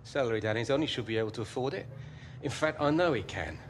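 An actor playing a combative, impatient middle-aged adviser in a video game cutscene, confrontational and accusing. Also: male voice, medium pitch, expressive, quick pace, slight background noise, natural voice.

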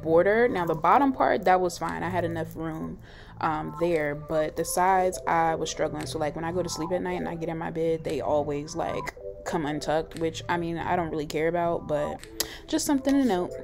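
A young woman with a slightly low voice, chatting in a relaxed voice-over for her home vlog. She talks easily and loosely, with plenty of "like" and "um". Music plays clearly behind her. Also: moderate pace. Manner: chatty, relaxed, lots of likes and ums. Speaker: vlogger. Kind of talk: casual voice-over chat. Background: clear background music.

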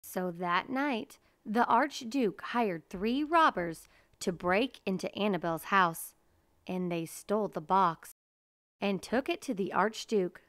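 A woman reading a children's picture book aloud in a measured, deliberate storytelling manner. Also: mid-range voice, fairly bright tone, dry recording, clean studio sound. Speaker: storybook reader.

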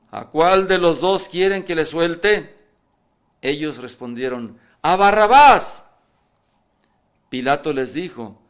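Older priest reading scripture aloud. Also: male voice. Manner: reads aloud, raising pitch and volume for quoted voices. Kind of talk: reading the Passion at Mass. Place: church, indoors at a lectern. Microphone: stand mic in front.

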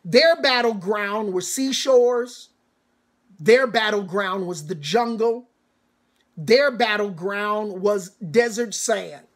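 Man, middle-aged, church elder, raised pitch, unhurried measured pace, emphatic sermon-style address, rhetorical repetition. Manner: emphatic, deliberate, rhetorical repetition with dramatic pauses. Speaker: church elder, commencement speaker. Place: small home study, indoors.

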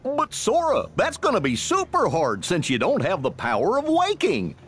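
A man's voice: high-pitched, wildly swooping pitch, normal speed, slightly rough, heavily acted. An actor playing a goofy, good-natured male cartoon dog in a video game, drawling and hiccupy. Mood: goofy, puzzled.

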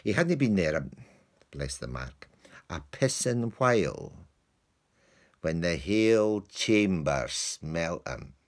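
An actor playing a comic lowly male servant, telling a broad Scottish-dialect tale of his dog with mock indignation. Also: big pitch swings, slow, full character voice. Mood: rueful. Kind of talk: theatrical monologue.

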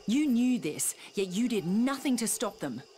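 An actress voicing a tough, cynical survivor in a zombie video game, blunt and defiant. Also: female voice, fairly high pitch, very animated, normal speed, warm tone, a little hiss or hum. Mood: distrustful. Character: tough, cynical, foul-mouthed female outbreak survivor.

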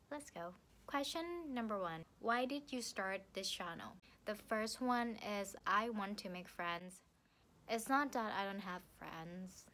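A young woman from Thailand with a Thai accent, chatting playfully to the camera from her sofa about books, with lively hand gestures. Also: mid-range voice. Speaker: book vlogger. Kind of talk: casual vlog to camera. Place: living room, seated on a sofa. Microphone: camera in front.

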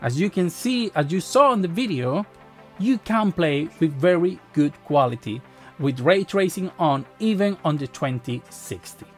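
A man in his thirties with a Spanish accent, a tech YouTuber talking casually to camera, animated with frequent restarts. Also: a little high, faint music behind. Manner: animated, gestures a lot, halting restarts. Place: small home studio room. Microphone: boom mic near the face.

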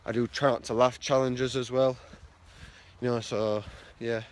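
A man's voice: mid-range voice, flat delivery, measured pace. A young man from the north of England, vlogging casually into a phone held at arm's length while walking outdoors. He rambles with lots of fillers and repeats himself. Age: young adult. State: blocked nose, sounds stuffy. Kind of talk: casual selfie vlog. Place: outdoors on a street walking to work.

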